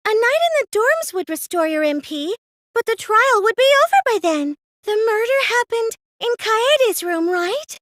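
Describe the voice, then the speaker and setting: Very high, girlish anime cosplay fangirl. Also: sing-song, moderate pace, a bit bright, no room sound, clean studio sound, exaggerated voice. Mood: puzzled, reasoning. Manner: hesitant, girlish, theatrically emotive. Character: soft-spoken, excitable teenage girl, self-effacing cosplay fangirl. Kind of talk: anime game voice acting.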